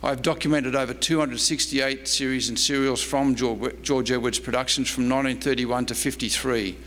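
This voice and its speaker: A man's voice: mid-range voice, steady tone, moderate pace, a bit bright. A man, a historian giving a prepared lecture read from notes at a convention. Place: convention presentation room. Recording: noisy, reverberant hall with hum.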